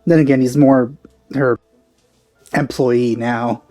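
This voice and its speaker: A woman hosting a let's play with a very deep, mellow voice. She makes easygoing, musing asides over the game. The sound is dry, with faint music behind. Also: steady tone, slow. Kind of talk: casual gameplay commentary.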